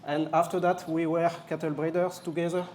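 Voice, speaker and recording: A man's voice: fairly high pitch, level tone, fairly quick, a little mellow, slight background noise. A man from France with a French accent, presenting a product at a live launch event with some jokes and hesitant fillers. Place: event venue.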